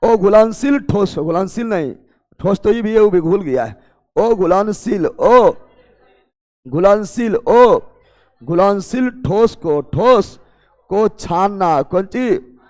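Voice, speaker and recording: A man teaching an exam coaching class, drilling students with repeated questions and urging them to answer fast. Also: sits high, animated, big-room sound. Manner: loud, emphatic, urging students to hurry.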